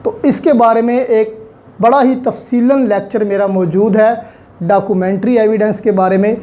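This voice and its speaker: A woman's voice: on the high side, fairly even intonation, normal speed, mellow. A woman with a Pakistani accent giving an online lecture as a law teacher, explaining step by step, posing questions and answering them, with many English terms mixed in.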